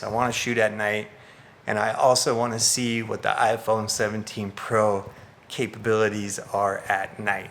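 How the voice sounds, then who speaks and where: A man's voice: mid-range voice, pushed and bright. A man who makes videos, talking casually and explaining in vlog style. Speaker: filmmaker, video creator.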